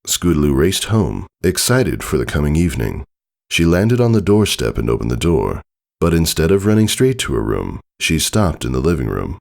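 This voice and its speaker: A man narrates fiction in a very low register. Fry runs through his voice, and the pitch moves widely. The delivery is dramatic, scripted storytelling. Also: sing-song, normal speed. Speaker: story reader.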